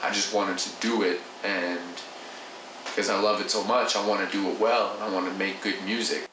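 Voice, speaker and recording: A man in his twenties from Canada, calmly talking as a hip hop artist in an interview. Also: fairly low pitch, normal speed. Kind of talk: casual interview talk. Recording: roomy, distant mic, noisy.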